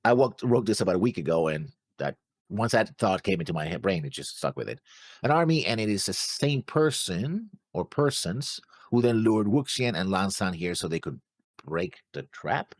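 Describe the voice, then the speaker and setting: Low-voiced, halting man with swinging intonation. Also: very animated, dry recording. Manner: halting, searching for words. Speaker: reaction show co-host. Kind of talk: casual discussion, talking through notes.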